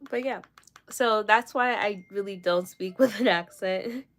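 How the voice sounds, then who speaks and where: A woman's voice: mid-range voice, unhurried, fairly bright tone. A young woman from Jamaica with no accent, chatting casually and rambling with fillers while doing her makeup on a livestream. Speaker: livestream host.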